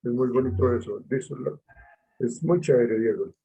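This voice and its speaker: A man's voice: medium pitch, normal speed, creaky, mellow, breathy edge, poor audio. A man, a teacher leading an online class discussion, hesitant with many fillers and repetitions.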